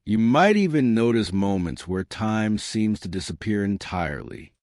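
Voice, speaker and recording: A man guiding a meditation, speaking softly and gently in short phrases with pauses. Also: fairly low pitch, lively intonation, no room sound, clean studio sound. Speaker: meditation guide. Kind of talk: guided meditation narration.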